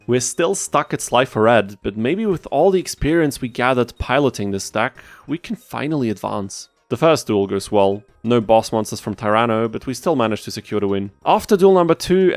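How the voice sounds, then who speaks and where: A man's voice: mid-range voice, no room sound. A man narrating a gameplay challenge as a video host, casual and wry, with mock-exasperated asides. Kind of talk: scripted gameplay commentary.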